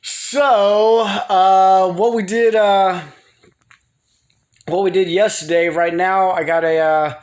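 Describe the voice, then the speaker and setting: A man's voice: sits high, level tone, takes it slowly. A man rambling casually to his viewers in a folksy, slangy, playful way, as in a personal home vlog. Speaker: video blogger. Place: living room at home. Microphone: phone.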